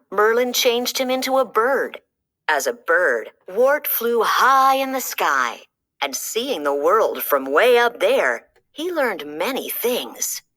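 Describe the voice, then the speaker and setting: A woman reading a children's storybook aloud, with a slow, animated storytelling manner. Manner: slow, lively storytelling with dramatic pitch swings. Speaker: storybook reader. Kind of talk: children's read-aloud narration.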